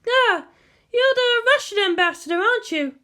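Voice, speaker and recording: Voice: very high voice, expressive, normal speed, pushed and bright, pitched above the performer's own voice, heavily acted. A narrator voicing a guarded woman military major with a curt, wary manner in a story reading. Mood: bland, suspicious.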